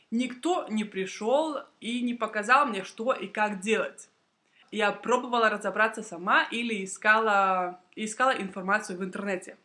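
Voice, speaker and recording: A young woman, a native Russian speaker, tells a prepared personal story to camera for language learners in a deliberate, clearly phrased, expressive way. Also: fairly high pitch. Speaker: Russian language teacher and video blogger.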